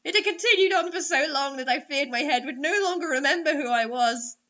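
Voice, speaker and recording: A woman's voice: high voice, fairly quick, pushed and bright. A woman reading a story dramatically as a host, breaking in with sarcastic, mocking asides. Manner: theatrical, sarcastic. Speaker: host reading bad online fiction aloud. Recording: dull lo-fi recording.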